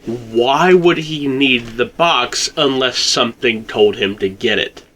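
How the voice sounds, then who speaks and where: A man's voice: medium pitch, slow, sharp tone. A man chatting casually as an agreeable co-host, mostly in brief interjections. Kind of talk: casual chat.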